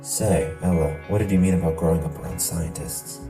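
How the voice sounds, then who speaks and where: A man voicing a grave, curious, freedom-loving traveler in an amateur animated series. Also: sits low, fairly quick, close to an everyday voice. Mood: thoughtful. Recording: echoey, distant mic under music.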